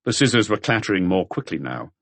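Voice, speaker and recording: A man's voice: fairly low pitch, very animated, fast talker, fairly bright tone, no echo. A man reading a mystery novel as a scripted audiobook narration.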